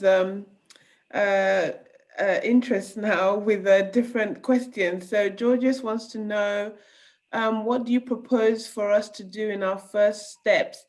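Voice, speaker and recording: A woman reads out questions from the audience in a mid-range voice. She pauses often and hesitates between phrases. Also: mid-range voice, a little mellow. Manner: hesitant, with many fillers. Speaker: moderator reading audience questions. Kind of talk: reading questions aloud.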